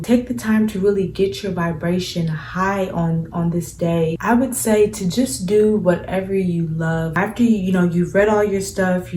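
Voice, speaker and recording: A young woman in her twenties talks through spiritual advice in an earnest, chatty way, with plenty of ums and likes. Her voice is low and mellow. It sounds roomy and a little distant from the mic, with faint background noise. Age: 20s. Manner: earnest, conversational, uses many fillers. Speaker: spiritual vlogger. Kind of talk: casual advice vlog.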